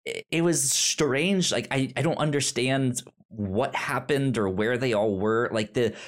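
A man co-hosting a podcast, talking casually about a film in a meandering, halting way. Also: mid-range voice. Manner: meandering, halting restarts, bursts into laughter. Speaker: podcast co-host. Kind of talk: casual movie discussion.

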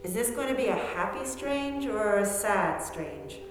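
A woman's voice: mid-range voice, sing-song, a little slow. A woman acting in a radio play as an anxious, wistful middle-aged woman, earnest and questioning. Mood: wary curiosity. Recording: very echoey hall, music behind.

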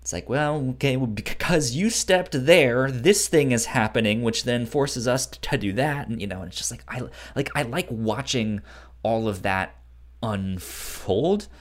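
Male podcast co-host, mid-pitched, conversational, with frequent stuttering on words and sounds. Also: animated, a little slow. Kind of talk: casual book discussion.

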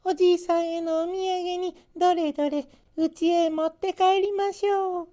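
Man doing a high falsetto kindly old woman. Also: little pitch movement, warm tone. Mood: pleased. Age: older. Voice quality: thin falsetto. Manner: singsong, drawn-out, polite. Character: kindly, delighted old woman of a Japanese folktale. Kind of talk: storybook character voice.

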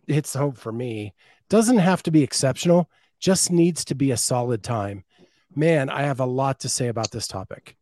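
A man's voice: medium pitch, a little mellow, no room sound. A man hosting a podcast, reading listener comments aloud and expanding on them in casual chat. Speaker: podcast host.

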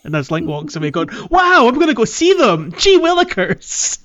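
A man's voice: very high-pitched, wildly swooping pitch, moderate pace, fairly bright tone, highs cut off. A man co-hosting a casual gaming podcast with a jokey manner. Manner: jokey, laughs often. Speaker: podcast co-host.

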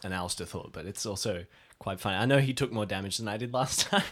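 A man's voice: lowish voice, fast, fairly bright tone. A man playing in an improvised audio role-play, chatting casually as he describes and speaks for his character.

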